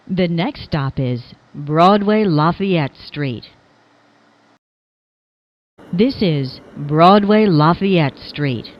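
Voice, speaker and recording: A woman's voice: on the low side, animated, very slow, pauses often, highs cut off. A woman reading scripted subway announcements in formal, clipped phrases that sound pieced together.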